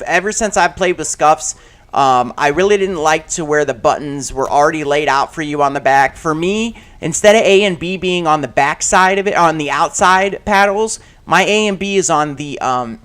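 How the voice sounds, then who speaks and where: A man with a raspy voice rambling casually about game controllers while playing a shooter, with many fillers and restarts and sudden loud outbursts at the game. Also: on the high side. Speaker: gaming channel host.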